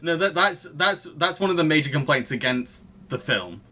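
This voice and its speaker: A man's voice: on the high side, expressive, normal speed, bright, forceful tone. A man hosting a casual film podcast, often repeating phrases as he goes. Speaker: podcast host.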